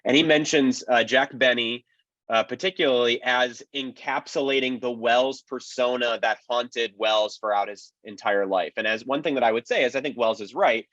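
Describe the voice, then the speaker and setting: A man speaks as a panelist in an informal discussion, with an analytical, explanatory manner. His voice sits at a middling pitch. The sound is dry and close, though a little dull. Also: normal speed, a bit bright, dry recording. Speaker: panelist, old-time radio historian.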